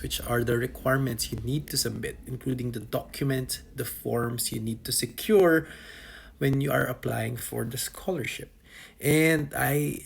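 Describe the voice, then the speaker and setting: A man's voice: mid-range voice, gravelly, breathy edge. A young man from the Philippines walking viewers through a scholarship document checklist item by item, in a casual explanatory way. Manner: talks through a checklist item by item, with restarts and fillers. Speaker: vlogger giving scholarship application advice.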